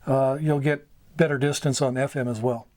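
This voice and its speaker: A middle-aged American man giving an informal instructional talk, going item by item in short, halting phrases. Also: mid-range voice, fairly even intonation, background noise. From United States. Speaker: amateur radio mentor, video host. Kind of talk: informal instructional explanation at a whiteboard. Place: small wood-panelled room indoors.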